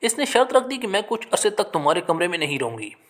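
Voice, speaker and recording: Male storyteller, slightly high-pitched and very expressive in intonation, for emotional first-person dramatic narration. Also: fast talker.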